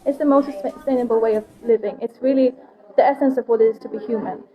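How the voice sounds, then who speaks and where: A woman's voice: fairly high pitch, steady tone, fast, muffled recording. A woman who grew up in Sweden, an interview guest and food project founder, explaining her ideas earnestly in simple steps. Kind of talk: casual interview conversation.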